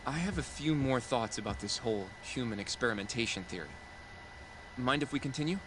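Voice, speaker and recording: A man playing a thoughtful investigator who reasons things out in a measured, explanatory way, as game dialogue. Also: medium pitch, lively intonation, noisy background, natural voice. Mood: considered. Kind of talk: video game dialogue acting.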